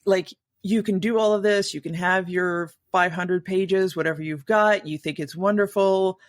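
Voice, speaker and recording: A woman's voice: fairly low pitch, fairly even intonation, moderate pace, no room sound, studio quality. A woman co-author on a podcast, talking animatedly and acting out quoted reactions. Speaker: co-author guest. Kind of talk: casual podcast conversation.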